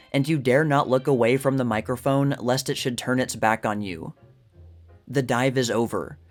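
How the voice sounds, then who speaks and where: A man reads scripted narration in a measured, formal, literary read-aloud manner. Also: mid-range voice. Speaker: narrator of a history channel. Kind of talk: scripted narration, reading an old magazine article. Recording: music behind the voice. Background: music.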